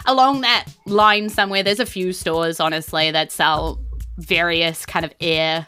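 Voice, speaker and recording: A woman's voice: lowish voice, steady tone, unhurried, pushed and bright, clear, resonant tone, soft background music. A woman with a New Zealand accent, chatty and playful, improvising narration as game master of a tabletop game.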